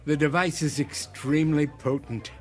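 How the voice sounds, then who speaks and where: An actor playing a sinister, genteel older man in a radio drama, speaking in measured, savoring, ornate phrases. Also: fairly high pitch, fairly even intonation, faint music behind, close to an everyday voice. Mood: smooth, knowing.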